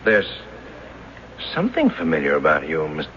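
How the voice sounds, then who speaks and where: An actor in a radio drama playing an upright, bewildered middle-aged schoolteacher who speaks haltingly. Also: male voice, rumbling low, huge pitch swings, fairly bright tone, full character voice. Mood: anxious, puzzled. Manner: hesitant, halting. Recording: dull, noisy old radio broadcast.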